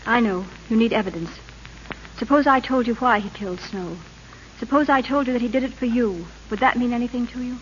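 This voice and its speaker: Actress as an earnest, insistent governor's wife, muffled radio. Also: female voice, a little high, a little fast, natural voice. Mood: pleading. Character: governor's pleading, passionate, morally indignant wife. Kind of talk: old-time American radio drama acting. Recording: muffled, noisy vintage radio recording.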